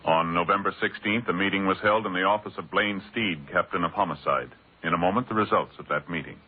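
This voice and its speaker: Male announcer: deep voice, solemn and measured, music underneath, vintage radio crime show. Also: narrow, tinny audio. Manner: formal, measured. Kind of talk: 1950s radio announcing, solemn scripted narration. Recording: old band-limited radio broadcast.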